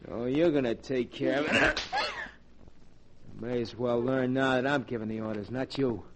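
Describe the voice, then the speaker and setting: A gruff scheming crook. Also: male voice, medium pitch, measured pace, a bit bright, a little hiss or hum. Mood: menacing. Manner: gruff, bossy, streetwise slang. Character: scheming tough-guy crook who takes charge. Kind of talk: 1940s radio acting.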